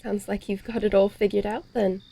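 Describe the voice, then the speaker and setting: A woman's voice: medium pitch, little pitch movement, brisk, gravelly, warm tone, breathy edge. A woman playing a bloodthirsty, grumbling female vampire in an audio horror-comedy, speaking dryly and theatrically in old-fashioned phrases. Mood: skeptical, dry.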